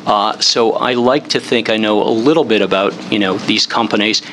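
A man's voice: on the low side, moderate pace, fairly bright tone. Man moderator, conversational, curious, faint room noise. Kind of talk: panel moderation.

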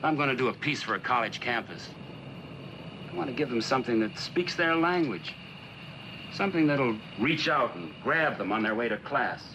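An American actor playing a blunt, idealistic middle-aged sculptor in a 1960s television drama. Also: male voice, medium pitch, lively intonation, moderate pace, lo-fi sound, close to an everyday voice. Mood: earnest, defiant. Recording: noisy old TV film soundtrack.

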